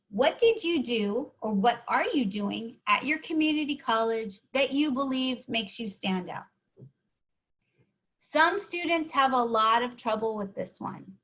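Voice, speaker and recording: A woman's voice: fairly high pitch, fairly bright tone. A woman presenting a workshop, encouraging and explaining step by step, often checking in with the audience. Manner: encouraging, keeps asking "right?". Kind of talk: teaching a live online workshop.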